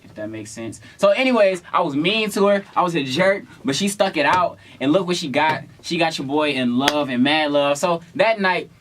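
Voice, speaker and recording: A man's voice: on the high side, moderate pace, hardly any pauses, a little hiss or hum. Young American man, playful casual storyteller. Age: young adult. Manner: playful, slangy, animated retelling with acted-out quotes. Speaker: vlog co-host.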